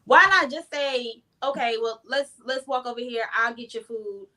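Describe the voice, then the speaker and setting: A woman's voice: on the high side, moderate pace, sharp tone. A woman in a casual group discussion, arguing her point insistently and acting out what she would say.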